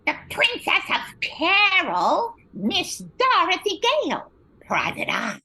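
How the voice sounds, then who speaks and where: A woman's voice: extremely high pitch, huge pitch swings, takes it slowly, bright, forceful tone, heavily acted. A woman playing a self-important, supremely confident Munchkin announcer in an amateur radio comedy. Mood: grandly boastful. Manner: pompous, showy.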